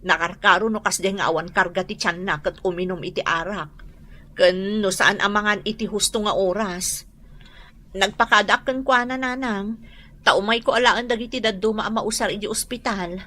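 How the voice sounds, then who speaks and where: A woman's voice: medium pitch, moderate pace, pushed and bright. A woman with an Ilocano accent playing a practical, nagging wife and mother who lectures her drinking husband in a radio comedy drama. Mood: worried.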